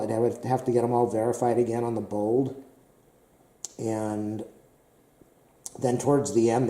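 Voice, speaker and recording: A man talks casually and rambles, repeating himself and filling pauses with "uh." His voice is very dark and mellow and a little hoarse. He sounds like he's in an echoey room. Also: mid-range voice. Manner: rambling, repetitive, many fillers. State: slightly hoarse. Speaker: tech vlogger.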